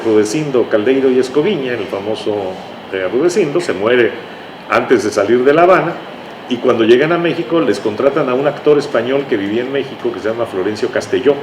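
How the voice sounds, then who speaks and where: A man with a Mexican accent speaking as an interview guest, telling anecdotes conversationally and often ending with tag questions. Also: medium pitch. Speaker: interview guest, writer recalling his radio work. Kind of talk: conversational reminiscing. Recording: echoey room, noisy ambient hum.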